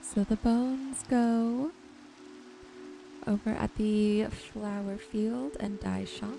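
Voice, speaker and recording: Gentle woman with a creaky, slow-paced murmur. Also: medium pitch, fairly even intonation, music in the background, rough recording. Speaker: gaming video host. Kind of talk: relaxed ASMR gameplay commentary.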